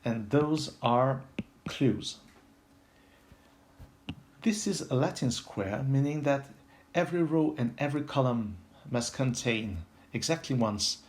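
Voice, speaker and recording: A man from France with a French accent, giving an unscripted puzzle tutorial in a halting, step-by-step way, thinking aloud. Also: mid-range voice, lively intonation, darkish tone, slight background noise. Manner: halting, pauses mid-sentence, explains step by step. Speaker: puzzle video host.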